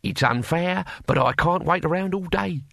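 A man's voice: sits high, normal speed, sharp tone, no room sound, pitched above the performer's own voice, heavily acted. Man as very old barbarian warrior, comic fantasy audiobook, cackling, colloquial, breezily menacing, dry close recording. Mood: matter-of-fact. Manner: cackling, rough colloquial speech.

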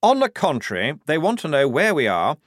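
A man's voice: a little high, wildly swooping pitch, a little fast, no echo, studio quality. A man reading a story, voicing a pompous, fussy young male lieutenant in a lofty, overly precise manner.

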